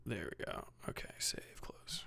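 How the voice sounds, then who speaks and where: A man's voice: a little slow, pushed and bright, in a whisper. A man streaming live, chatting casually and swearing often, muttering half to himself as he works on something. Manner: profane, muttering to himself while working. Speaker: livestreamer.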